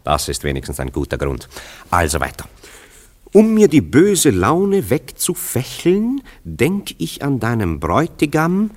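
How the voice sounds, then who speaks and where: An actor playing a worldly, teasing friend in a German radio drama, with an ironic, amused and theatrical delivery. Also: male voice, mid-range voice, wildly swooping pitch, measured pace.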